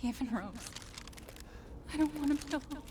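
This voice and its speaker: An actress playing a sorrowful, lonely mother in a horror game, speaking softly and plaintively. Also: high-pitched, moderate pace, noisy background, close to an everyday voice. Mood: sorrowful, pleading. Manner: soft, plaintive. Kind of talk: horror video game acting.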